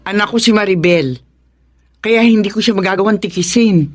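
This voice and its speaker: Woman acting older mom in a radio drama, chiding, lowish voice, really dry sound. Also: normal speed, dry recording, natural voice. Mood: reproachful. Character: older mother, reproachful, protective of her child.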